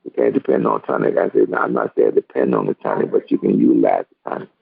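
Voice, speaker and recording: An older man giving a casual, earnest testimony over the telephone in a gravelly, husky voice, repeating words for emphasis. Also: a bass voice, steady tone, quick pace, dry recording. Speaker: guest giving a personal testimony. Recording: tinny narrowband phone line.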